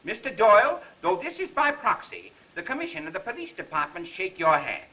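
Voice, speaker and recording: A man's voice: high voice, very animated, a little fast, sharp tone, full character voice. A man acting a pompous, fussy police secretary who stammers through flowery speech in a 1930s film. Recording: dull lo-fi 1930s film soundtrack.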